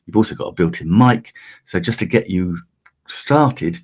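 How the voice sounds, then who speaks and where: A deep-voiced man from the UK hosts a casual how-to live stream from a home setup. His voice is croaky and husky, and he rambles along with fillers and restarts. The desk-mic sound is slightly dull. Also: animated, moderate pace. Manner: chatty, filler-heavy, restarts often. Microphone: desk USB microphone.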